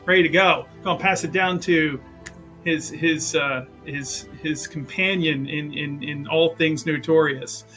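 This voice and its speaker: A man's voice: a little high, steady tone, measured pace, bright, forceful tone, faint music behind. A man playing a tabletop game, casually introducing his character with a theatrical build-up and stumbling repetitions.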